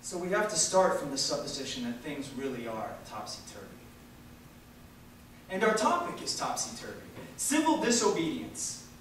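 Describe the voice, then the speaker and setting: A man reading a political speech aloud at a public event in an emphatic, oratorical way, with dramatic pauses. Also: fairly high pitch, big pitch swings, fairly bright tone. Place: large echoey room at a live university event. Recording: echoey hall from far off, faint noise.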